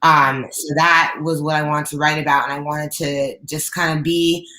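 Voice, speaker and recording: A young woman with a low, raspy alto voice, a guest singer talking openly on a video call from her living room, with many fillers and restarts. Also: level tone, moderate pace, bright, forceful tone, reverberant, low-quality recording. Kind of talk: casual interview chat. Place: bright living room at home.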